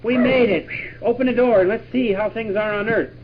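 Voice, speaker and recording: A man playing an excitable astronaut commander in an improvised pretend radio play, exclaiming and giving urgent orders. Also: sits high, brisk, natural voice. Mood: excited. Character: excitable male astronaut commander leading a moon trip. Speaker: father playing with his children. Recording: muffled, very noisy 1960s home tape.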